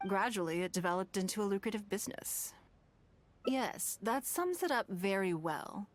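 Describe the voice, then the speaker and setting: A woman voicing a wealthy, shrewd, self-assured noblewoman in a video game, speaking in a measured, formal way with calm, teasing poise. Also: mid-range voice, close to an everyday voice. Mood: composed, self-assured. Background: game background music.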